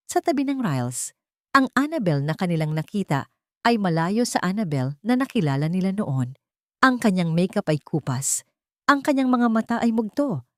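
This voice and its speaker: A woman's voice: on the low side, no echo, studio quality. A woman reading a dramatic romance story aloud as narrator. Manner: dramatic storytelling, reads all parts herself.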